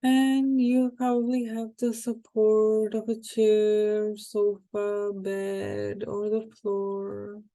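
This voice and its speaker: A woman guiding a hypnosis meditation in a calm, unhurried, soothing way, with short phrases and pauses. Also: mid-range voice, low-quality recording. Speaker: hypnosis guide.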